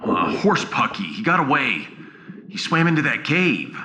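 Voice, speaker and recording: A man playing an excitable but wary human spaceship captain in a sci-fi audio drama, animated and exclamatory. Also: medium pitch, unhurried. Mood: excited. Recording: echoey reverb with faint noise.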